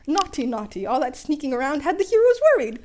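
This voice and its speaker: A woman's voice: high-pitched, very animated, fast, noisy background. A woman voicing a grand male superhero in a story, declaiming and mock-stern as he scolds. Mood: grand, scolding.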